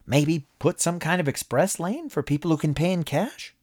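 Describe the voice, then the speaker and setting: A man voicing an excitable, jovial businessman who gushes in a high voice. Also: normal speed. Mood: excited. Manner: high, gushing and breathless.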